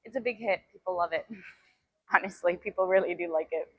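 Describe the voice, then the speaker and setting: A woman's voice: on the low side, a little fast, slightly rough, narrow, tinny audio. A woman whose first language is Albanian, chatting casually on a fitness live stream from a gym and laughing often as she reads comments. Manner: laughs often, reads chat aloud between sets. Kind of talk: casual live-stream chat while working out.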